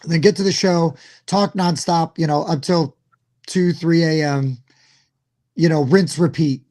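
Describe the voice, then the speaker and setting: Loud, hoarse male co-host, rambling casual talker, slightly high pitch. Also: fairly even intonation, measured pace. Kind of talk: casual chat.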